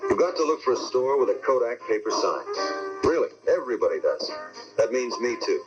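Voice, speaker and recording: A man reading a radio commercial in a warm, personal way on a lo-fi old broadcast. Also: medium pitch, big pitch swings, brisk. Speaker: ad spokesman. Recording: lo-fi radio ad over loud music.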